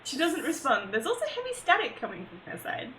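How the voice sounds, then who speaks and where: A woman reads a visual novel aloud on stream. Her voice is high, bright and swings widely in pitch. She mixes the reading with casual banter. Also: wildly swooping pitch, a little fast, slight background noise. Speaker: game streamer.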